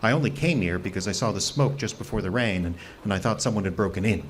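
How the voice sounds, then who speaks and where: An actor playing an anxious, secretive hermit scientist in an audio drama, halting and stammering as he pleads. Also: lowish voice, slight background noise, natural voice. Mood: flustered, evasive.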